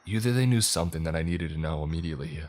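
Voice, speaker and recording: A man narrates a scripted horror story in the first person. His voice is exceptionally low, and his delivery is dramatic and suspenseful. Faint music plays behind him. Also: lively intonation, racing, a little mellow.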